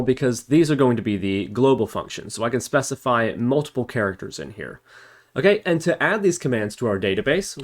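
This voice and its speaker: Man narrating an informal coding tutorial, mid-pitched. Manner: explanatory, thinking aloud while working. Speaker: programming tutorial instructor.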